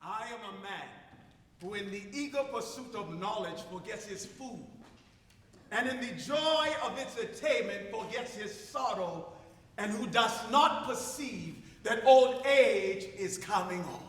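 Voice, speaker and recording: An actor playing an ageing, idealistic South African schoolteacher in a theatrical stage monologue, declaiming earnestly and philosophically with emphatic pauses. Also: male voice, sits high, expressive, slight background noise. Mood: wry, reflective. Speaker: drama student performing a monologue. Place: large ceremony hall stage. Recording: echoey hall, distant stage sound.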